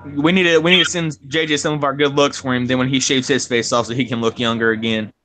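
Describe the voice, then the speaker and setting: A man's voice: mid-range voice, little pitch movement, quick pace, noisy background. A young man co-hosting a live stream over a video call, chatting casually, joking and swearing freely. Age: young adult. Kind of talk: casual chat.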